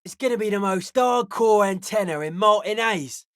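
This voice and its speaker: A man's voice: high-pitched, normal speed, fairly bright tone, no room sound, studio quality. A man voicing a wary, blunt young raver and tech tinkerer in a video game, slangy, clipped and wry. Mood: keen, matter-of-fact. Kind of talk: video game character dialogue.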